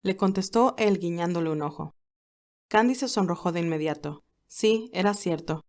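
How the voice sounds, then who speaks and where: A woman's voice: on the low side, normal speed, darkish tone. A woman narrating her own fan fiction in a scripted storytelling style.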